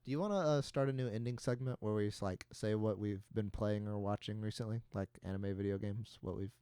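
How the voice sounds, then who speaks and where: Podcast co-host: young male, slightly nasal, lowish register, loose and rambling casual chat, recorded dry. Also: fairly even intonation, brisk, warm tone, clean tone, dry recording. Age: young adult. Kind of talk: casual chat between friends.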